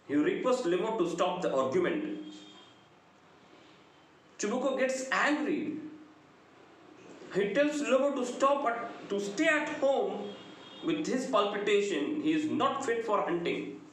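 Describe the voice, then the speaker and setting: A middle-aged man with a South Indian accent giving an explanatory lecture in a deliberate, emphatic, sing-song delivery. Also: sits high, lively intonation. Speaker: English lecturer. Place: small indoor room. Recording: very echoey room, faint noise.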